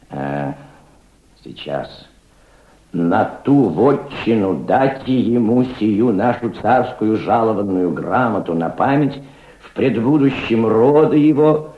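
A proud, cultured gentleman revolutionary speaks in a low, highly modulated voice. He reflects and orates like a fatherly mentor in an old radio play, heard in a roomy, echoing recording. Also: dull audio. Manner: reflective, oratorical, fatherly toward a young listener. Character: proud, cultured older Russian aristocrat turned revolutionary diplomat. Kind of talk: Soviet radio drama acting.

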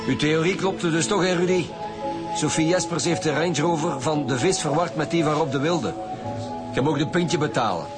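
A man's voice: on the high side, moderate pace, over loud music, lightly acted. A man with a Flemish accent playing a stern, scornful homicide detective in a radio drama, blunt and sarcastic. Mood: relaxed.

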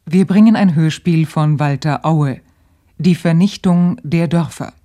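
A man's voice: a little high, a little slow, warm tone. A man formally announcing a radio play in 1970s public radio style.

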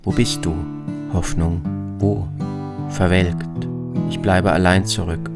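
A very low-pitched narrator reads a first-person travel account for a radio feature. Plucked guitar music plays prominently behind the words. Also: little pitch movement, slow, vocal fry.

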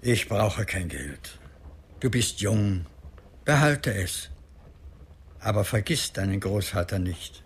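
An actor playing an old, solemn, gentle medicine man and grandfather in a radio drama, with a husky voice. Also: lowish voice, animated, slow, long pauses, exaggerated voice. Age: older.

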